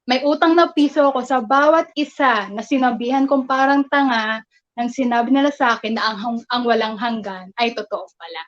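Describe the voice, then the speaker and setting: A woman's voice: on the high side, a little fast. A Filipino woman, a poet, chatting casually on a video call, mixing English and Tagalog and laughing often. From Philippines. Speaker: guest poet and flight attendant. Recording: dull lo-fi call audio.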